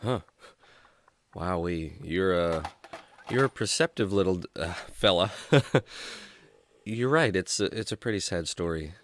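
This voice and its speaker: A man's voice: low voice, animated, unhurried, pauses often, a little hiss or hum. A man playing a friendly, earnest young adventurer in a children's radio drama, speaking like a clean-cut storyteller. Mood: kindly, teasing.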